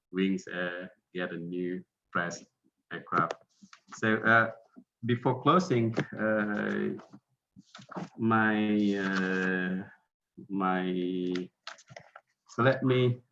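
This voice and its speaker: A man's voice: fairly low pitch, creaky, bright, forceful tone, muffled recording. A man with an Indonesian accent, a Balinese native speaker, giving a hesitant webinar talk full of fillers and restarts. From Bali, Indonesia. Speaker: guest speaker, aircraft technical representative. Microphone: video call.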